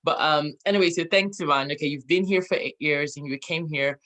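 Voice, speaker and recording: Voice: mid-range voice, a little fast, a bit bright. Eager, upbeat interview host. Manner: eager and upbeat, many fillers and restarts. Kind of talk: casual interview chat.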